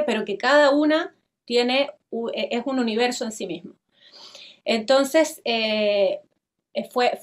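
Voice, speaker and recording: A Chilean woman in her thirties speaks in a fairly high voice, explaining things informally over a video call. She searches for words as she goes, often repeating a small word before finishing the thought. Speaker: composer and company collaborator on a panel. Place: indoor room at home, on a video call.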